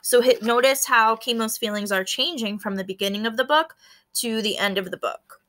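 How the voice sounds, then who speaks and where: Bright, high-voiced woman teacher reading aloud. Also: moderate pace. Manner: explanatory, addressing pupils directly. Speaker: schoolteacher. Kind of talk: children's read-aloud with teaching commentary.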